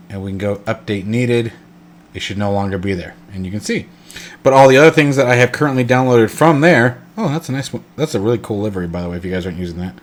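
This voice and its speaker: A congested man hosting a casual flight sim tutorial, rambling and correcting himself with lots of fillers. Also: mid-range voice. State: congested, stuffed up. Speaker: flight sim channel host. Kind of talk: casual screen-recorded tutorial.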